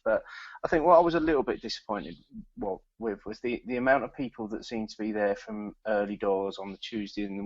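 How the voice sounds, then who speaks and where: A man's voice: lowish voice, gravelly, no echo. A British man co-hosting a podcast, speaking in a rambling, restart-heavy way. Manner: rambling, many restarts, mildly critical. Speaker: podcast co-host. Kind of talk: casual chat. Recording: dull lo-fi sound.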